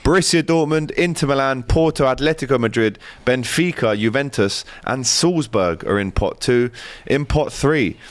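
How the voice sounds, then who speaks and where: A man's voice: medium pitch, moderate pace, few pauses, no room sound. A man co-hosting a football chat, upbeat as he runs through lists of teams. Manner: upbeat, reads lists of team names. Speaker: co-host.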